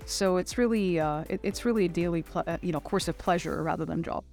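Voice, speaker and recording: Low-pitched middle-aged woman, interview guest. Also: quick pace, gravelly, darkish tone, faint music behind. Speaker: interview guest, finance executive. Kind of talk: conversational interview answers.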